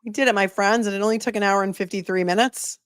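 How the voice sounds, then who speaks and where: A woman attorney hosting a channel, hoarse from a long read, reading a legal brief aloud in a measured, emphatic way and then chatting casually with a guest. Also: medium pitch, fairly even intonation, dry recording, clean studio sound.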